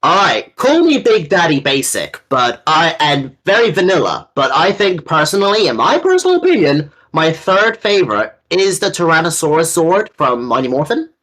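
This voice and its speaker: A man's voice: a little high, sing-song, moderate pace, few pauses, fairly bright tone. A man in his early twenties co-hosting a casual chat, informal and opinionated, with plenty of slang. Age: early 20s. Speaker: co-host.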